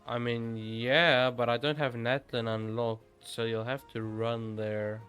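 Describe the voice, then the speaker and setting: A man's voice: medium pitch, level tone, takes it slowly, a bit bright, clean tone, faint music behind. A young man giving casual live commentary as he streams a video game.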